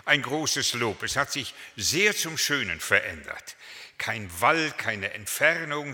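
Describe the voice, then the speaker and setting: Bright-voiced pastor in his seventies, echoing hall. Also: medium pitch. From Germany. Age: 70s. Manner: chatty, anecdotal, humorous preaching. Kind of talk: sermon. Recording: long hall reverb.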